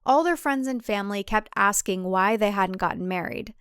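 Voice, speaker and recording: A woman's voice: mid-range voice, moderate pace, fairly bright tone, clear, resonant tone, dry recording, clean studio sound. A woman reading scripted comic thriller narration as an audiobook narrator.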